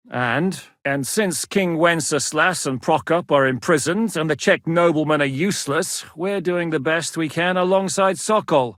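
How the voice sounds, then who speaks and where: A man's voice: on the high side, a bit bright, dry recording, clean studio sound, close to an everyday voice. A man voicing a shrewd, commanding medieval Czech mercenary lord in a video game, speaking with blunt authority. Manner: authoritative, blunt, swings from measured to barking. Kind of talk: dramatic video game dialogue.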